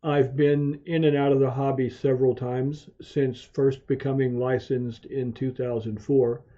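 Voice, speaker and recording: A man narrating a software tutorial as a hobbyist, explaining things in a methodical, measured way. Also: medium pitch. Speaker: amateur radio hobbyist hosting video tutorials. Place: home radio shack. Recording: dull, muffled lo-fi voice track.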